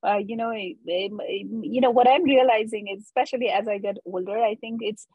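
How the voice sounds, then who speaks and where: A woman hosting an interview who asks her questions haltingly, with many ums and uhs. She slips Nepali phrases into her English. Her voice is mid-pitched and sounds dry and close. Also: steady tone, husky, no echo, phone-quality sound. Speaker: podcast host. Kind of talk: casual interview conversation.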